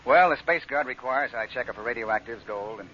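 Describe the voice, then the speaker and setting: A noisy, muffled vintage radio recording of a man playing a gruff spaceship captain. He talks fast and impatiently, snapping out brusque orders in radio drama style. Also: medium pitch, a bit bright, lightly acted. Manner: brusque, clipped commands. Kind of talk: old-time radio drama acting.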